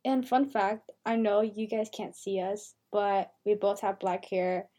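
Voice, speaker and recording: A woman's voice: mid-range voice, level tone, a little slow, warm tone. An eighteen-year-old woman co-hosting a podcast, talking in a chatty, casual way with lots of "like" fillers. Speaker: podcast co-host, university freshman.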